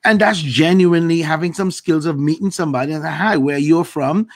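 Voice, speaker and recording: A man's voice: fairly high pitch, fairly quick, dry recording, clean studio sound. A man from Queens, a guest on a live video chat, talking emphatically with many "like"s. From Queens, New York. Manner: emphatic, lots of "like", drawn out.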